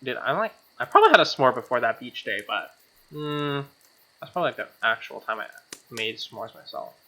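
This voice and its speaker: Very deep-voiced woman, bright, slangy game streamer. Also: big pitch swings, brisk. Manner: slangy, casual asides with swearing. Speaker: streamer reading a visual novel aloud. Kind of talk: casual playthrough, narration mixed with commentary.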